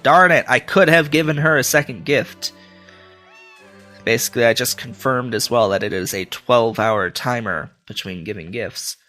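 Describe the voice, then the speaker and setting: A man's voice: medium pitch, moderate pace, sharp tone, soft background music. A man with a stuffy nose from a cold, rambling through casual gameplay commentary with many filler sounds. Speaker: let's play host.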